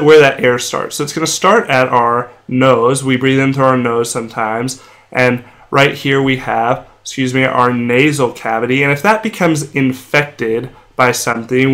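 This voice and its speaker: A young man with a heavily congested, nasal voice gives a drawn whiteboard lesson, sounding feverish and sore-throated. He explains in a plain, steady way and slows down to spell out each medical term. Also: mid-range voice. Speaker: medical educator. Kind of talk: whiteboard lecture while drawing.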